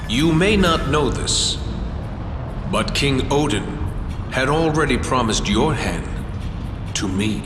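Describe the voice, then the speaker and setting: A man's voice: mid-range voice, lively intonation, slow pace, pauses often. An actor playing a haughty, covetous fire king in a video game, speaking in a theatrical, courtly and menacing manner. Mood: sly, coaxing. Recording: echoey hall reverb under loud music.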